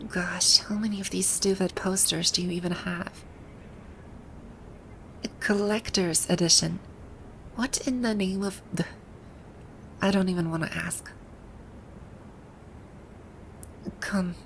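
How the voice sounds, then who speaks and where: A woman's voice: lowish voice, steady tone, unhurried, long pauses, a bit bright, a little hiss or hum. A woman playing a haughty, easily flustered female final-boss villain from a video game in an ASMR roleplay, with an imperious, indignant manner. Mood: exasperated. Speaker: voice actor.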